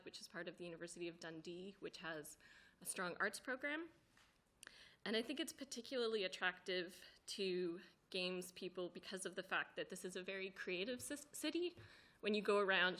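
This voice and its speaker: A woman's voice: lowish voice, sharp tone. A woman speaking to a committee hearing, listing things in an upbeat, enthusiastic way. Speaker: committee witness. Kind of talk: formal testimony.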